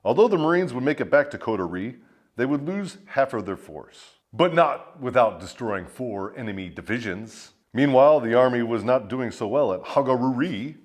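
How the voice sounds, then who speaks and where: Male military storyteller, highly varied intonation, theatrical, swaggering, profane comic narration. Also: animated, moderate pace. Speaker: host of a military storytelling show, a first sergeant. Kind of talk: dramatic scripted storytelling with profane humour.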